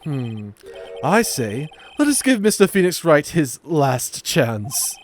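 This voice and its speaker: A man's voice: a little high, sing-song, takes it slowly, slight background noise, voice pushed down. A man voicing a haughty, composed prosecutor in an amateur game dub, speaking with cool formality.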